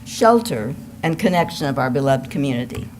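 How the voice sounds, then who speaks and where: An older woman with a low-pitched voice addresses a congregation with announcements and shared concerns. She is speaking live at a service, with some faint noise around her. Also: animated, a little fast, darkish tone. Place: church service.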